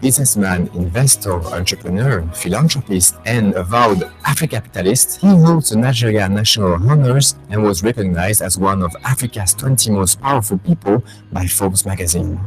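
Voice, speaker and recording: A man giving scripted documentary narration in an even, polished delivery. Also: fairly low pitch, normal speed, few pauses, music in the background, poor audio.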